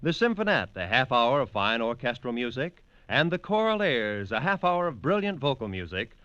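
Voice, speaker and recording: A man's voice: medium pitch, very animated, moderate pace, pushed and bright, smooth, no echo. A man with an American accent announcing formally in the polished manner of 1940s radio. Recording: dull old radio recording, faint noise.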